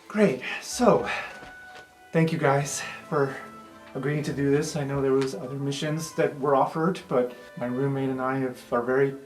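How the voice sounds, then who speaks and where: A woman's voice: a bass voice, fairly even intonation, normal speed, darkish tone. A woman playing an awkward, loyal young magic student in a tabletop game, blunt and casually sweary. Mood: earnest, brash. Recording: roomy sound with music underneath.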